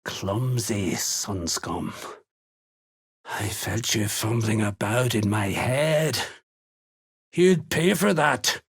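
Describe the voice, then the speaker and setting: Surly game dwarf slaver, slow n husky, gravelly, talks down to you. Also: male voice, fairly low pitch, expressive, exaggerated voice. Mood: menacing contempt. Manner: sneering, blunt, clipped. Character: surly male duergar slave hunter, menacing and contemptuous. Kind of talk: video game character voice lines.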